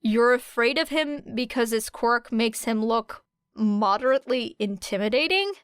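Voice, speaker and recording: A woman's voice: on the high side, expressive, measured pace, a bit bright, smooth, dry recording. A woman voicing a wary, blunt teenage schoolgirl in an audiobook, dry and insistent. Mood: exasperated, insistent. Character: wary, defensive, blunt teenage girl student.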